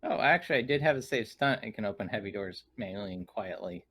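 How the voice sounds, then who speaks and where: A man chatting casually as a player in a tabletop game, halting and stammering as he thinks aloud. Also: lowish voice, lively intonation, a bit bright, breathy edge.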